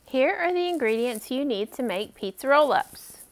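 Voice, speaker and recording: High-pitched woman giving kids' cooking instructions. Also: expressive, moderate pace, noisy background. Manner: encouraging, simple instructional phrasing. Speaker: library cooking program host for kids. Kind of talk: friendly step-by-step cooking demonstration for children.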